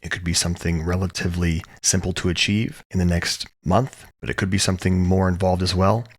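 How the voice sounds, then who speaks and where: A man leading a guided relaxation as a yoga teacher, speaking calmly and soothingly in short, measured phrases with pauses. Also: deep voice. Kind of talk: guided meditation, gentle instruction.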